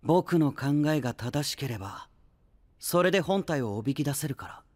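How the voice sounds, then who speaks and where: An actor playing a calm, clever young male knight in an anime-style voice drama, cool, confident and lightly mocking. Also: a little high, moderate pace, no echo. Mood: confident, explaining.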